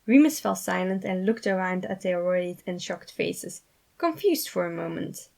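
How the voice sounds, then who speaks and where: A woman's voice: fairly low pitch, animated, normal speed, vocal fry, darkish tone. A woman reading scripted story narration, as the writer telling her own fiction.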